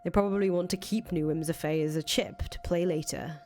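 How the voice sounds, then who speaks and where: A woman's voice: on the low side, fairly even intonation, brisk, a little mellow, lightly acted. A woman playing a cynical, foul-mouthed ousted rebel leader in a visual novel, thinking aloud in casual, profane speech. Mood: wry, brooding. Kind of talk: acted reading of visual novel dialogue. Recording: music under the voice.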